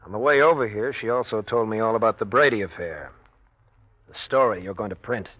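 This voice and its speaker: An American actor playing a steady, protective surgeon and loyal husband in 1940s-style radio drama, calm and firm. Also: male voice, on the low side, a little fast, no room sound. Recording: dull old radio recording.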